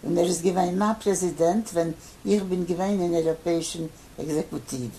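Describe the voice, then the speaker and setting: An older man who grew up in Poland, his first language Polish, answering interview questions haltingly with many pauses and fillers. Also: sits high, fairly even intonation, mellow, low-quality recording. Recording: faint background noise.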